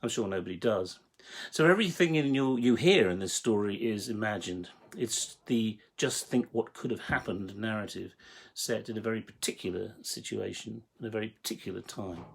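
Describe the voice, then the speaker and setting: A man giving a prepared explanatory monologue as a writer and producer, speaking in a measured, articulate way with emphatic phrasing. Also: lowish voice, vocal fry, slightly rough. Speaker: writer and producer introducing his audio drama series.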